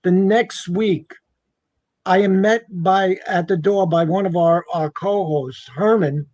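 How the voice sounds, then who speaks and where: A man's voice: high-pitched, takes it slowly, a little mellow, dry recording, dull audio. A man telling a personal anecdote in a casual, conversational way, acting out what people said. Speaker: guest telling a personal ghost story.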